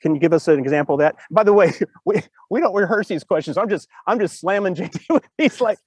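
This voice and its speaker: Male host w slightly high voice, casual rambly questions, super dry sound. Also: fast, breathy edge, dry recording. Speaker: talk show host. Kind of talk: casual, unscripted interview chat.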